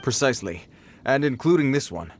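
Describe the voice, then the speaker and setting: An actor voicing a dutiful city guard in a video game, formal, polite and cautious. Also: male voice, medium pitch, sing-song, fairly quick, a little hiss or hum, close to an everyday voice. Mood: concerned.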